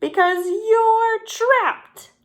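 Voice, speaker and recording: A woman voicing a bold, loud and commanding turkey trickster in a children's storybook. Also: extremely high pitch, extremely slow, voice pushed up, heavily acted. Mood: triumphant.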